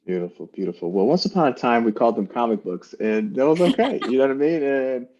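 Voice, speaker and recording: A man and parent talking in a relaxed, musing way in a casual panel chat on a video call, his sentences trailing off softly. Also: mid-range voice, quick pace, dull audio.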